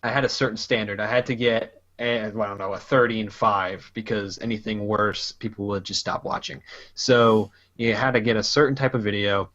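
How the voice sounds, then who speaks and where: A man's voice: on the low side, a bit bright, lo-fi sound. A man casually chatting as a podcast host, rambling loosely and laughing often. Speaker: podcast host and gaming YouTuber. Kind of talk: casual chat.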